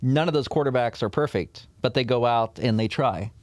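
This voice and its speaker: A man's voice: medium pitch, moderate pace, dry recording. Man, podcast guest, earnest storytelling, casual chat, mid-range voice, dry sound. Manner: earnest, tells anecdotes.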